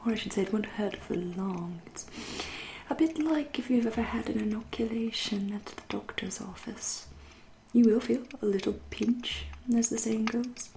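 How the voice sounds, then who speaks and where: A woman playing a centuries-old vampire in an ASMR roleplay, with an English accent, a soft breathy half-whisper and a genteel, teasing, politely menacing manner. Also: a little high, a little hiss or hum. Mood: soothing menace. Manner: slow, deliberate, politely menacing.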